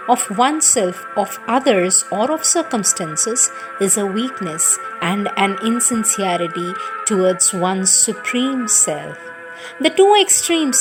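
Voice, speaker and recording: A woman reading spiritual teachings aloud in a deliberate, reverent, scripted devotional style. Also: a little high, low-quality recording. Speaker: reader of spiritual texts. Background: music.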